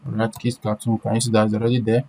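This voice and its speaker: A man's voice: on the low side, completely flat delivery, very fast, mellow. A man presenting an informal step-by-step screen-share tutorial, repeating himself and often checking in with short questions. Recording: clear background noise.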